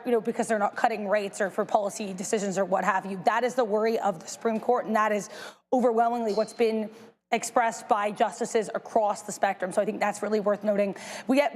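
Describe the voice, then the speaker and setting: A woman rattles off a fast market briefing in a slightly high voice, brisk and explanatory. A long reverb trails her words. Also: a little mellow. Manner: brisk, self-correcting explainer. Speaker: market news reporter. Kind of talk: quick news briefing.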